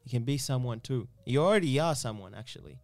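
A man's voice: medium pitch, expressive, fast, gravelly, a little mellow, no room sound. A man from Uganda chatting casually as an interview guest, rambling and repeating himself with lots of "you know".

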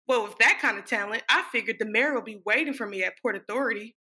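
A brash young small-town dancer, played by a woman tells her story as a stage monologue. Her voice is fairly high and bright, with a forceful edge. She is sassy, sardonic and happy to swear. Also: quick pace. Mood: wry, boastful. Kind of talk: dramatic stage monologue reading.

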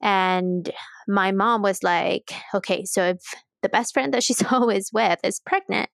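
A woman's voice: medium pitch, steady tone, unhurried, creaky, no echo. A woman from Mexico City telling personal stories as a podcast guest in an informal, candid way. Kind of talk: casual personal storytelling.

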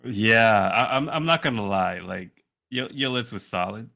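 A man's voice: lowish voice, lively intonation, measured pace, gravelly, sharp tone. A man co-hosting a podcast in a casual, bantering conversational style. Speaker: podcast co-host.

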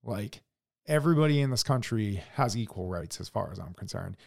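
A man's voice: fairly low pitch, animated, fairly quick, gravelly. A man co-hosting a podcast, talking bluntly with lots of swearing and stammered restarts in a casual opinion chat. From United States. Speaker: podcast co-host.

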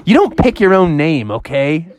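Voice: very animated, normal speed, darkish tone, close to an everyday voice. A storyteller voicing a laid-back little male saber-tooth hamster who talks in slang, in a made-up story. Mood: defensive. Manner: squeaky and chill, slangy. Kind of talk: bedtime-style made-up story.

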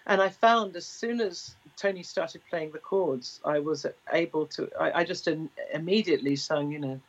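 A woman in her sixties, a singer with a deep baritone voice, telling stories in an interview with many restarts. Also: moderate pace, muffled recording. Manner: rambling, with frequent restarts. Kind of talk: relaxed conversational storytelling.

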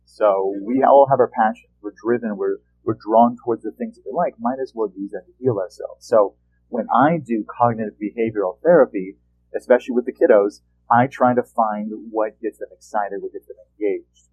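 A male therapist hosting a podcast, explaining treatments in a measured, patient way. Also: lowish voice, a little hiss or hum. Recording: tinny phone-like line.

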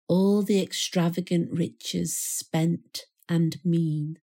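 A woman reciting a poem in a measured, solemn manner with deliberate pauses. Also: fairly low pitch, fairly even intonation, mellow. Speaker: poetry reader. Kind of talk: poetry recital.

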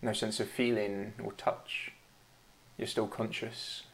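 A man running a tabletop game as game master, laughing often and dropping to a slow, hushed delivery for dark narration. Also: on the low side, level tone, vocal fry, a little hiss or hum, rough recording.

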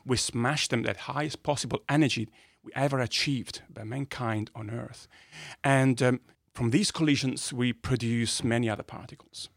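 A man with an Italian accent, a native Italian speaker, explaining science in a conversational interview with enthusiasm and pauses between phrases. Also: medium pitch, fairly even intonation, gravelly, no room sound, clean studio sound. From northern Italy. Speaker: guest physicist. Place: podcast studio.

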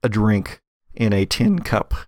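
A man narrating as game master, improvising casually in halting, informal descriptions. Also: fairly low pitch, expressive, a little mellow, no echo, clean studio sound.

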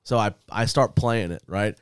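A man telling a story in casual chat as a co-host, animated and indignant. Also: on the low side, normal speed, clear, resonant tone, no room sound. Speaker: DJ and podcast co-host.

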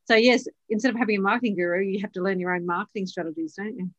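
A woman's voice: medium pitch, racing, no room sound, lo-fi sound. A woman hosting a podcast, asking encouraging questions and often ending with tag questions. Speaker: podcast host. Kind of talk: scripted intro then casual interview.

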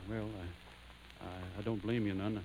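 An actor in an old radio drama playing a humble young backwoods farmer turned soldier, with a halting, folksy rural Tennessee drawl. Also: male voice, lowish voice, little pitch movement, noisy background, natural voice. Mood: bashful, hesitant. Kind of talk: 1940s radio drama acting.

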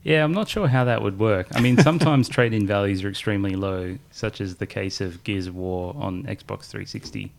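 A man's voice: fairly low pitch, fairly quick, creaky, few pauses. A man co-hosting a podcast, rambling through casual chat about games and chuckling often. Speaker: podcast co-host.

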